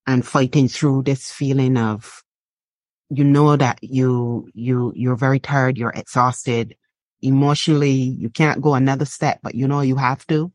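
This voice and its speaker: Middle-aged Barbadian woman, telling her story haltingly. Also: extremely low pitch, fairly even intonation, darkish tone, dry recording. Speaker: interview guest, artist and writer. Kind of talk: reflective personal storytelling.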